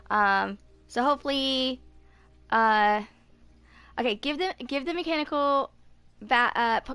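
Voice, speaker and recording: A woman in her twenties streaming a video game, chatting casually and reading aloud in a halting way, stumbling over words. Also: a little high, fairly bright tone, clear, resonant tone. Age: 20s.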